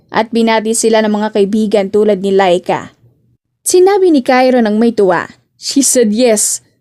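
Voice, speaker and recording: A woman's voice: mid-range voice, a little mellow, no room sound, clean studio sound. A woman reading scripted narration for a romance audiobook.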